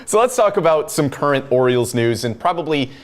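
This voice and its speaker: A man hosting a sports talk show, setting up topics and asking questions in a smooth, brisk way. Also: fairly high pitch, expressive. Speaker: show host.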